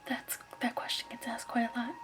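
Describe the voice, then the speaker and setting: A woman playing a gentle, soothing crystal shop keeper in a hushed, patient ASMR roleplay whisper. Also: on the high side, level tone, a little fast, music in the background, natural voice. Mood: gentle, explaining.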